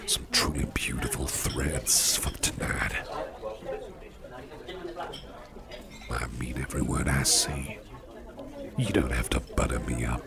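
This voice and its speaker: A man playing a genial, courteous monster boss in an ASMR roleplay, speaking softly and intimately in breathy half-whispers with a chummy, flattering manner. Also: heavily acted. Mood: flattering, playful. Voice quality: very deep gravelly rumble, breathy half-whispers. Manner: unhurried, chummy, repeats phrases, chuckles. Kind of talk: ASMR roleplay, intimate soft speech to listener. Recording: restaurant crowd murmur behind. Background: restaurant chatter.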